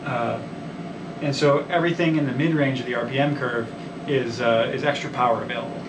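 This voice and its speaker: A man's voice: medium pitch, fairly even intonation, a little fast. A young man who installs marine electrical systems, explaining the setup on a boat in a technical, rambling way with many ums and restarts. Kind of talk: technical walkthrough interview. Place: small wooden boat cabin and engine room. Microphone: camera a few feet away. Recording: echoey cabin, distant mic, air conditioning hum.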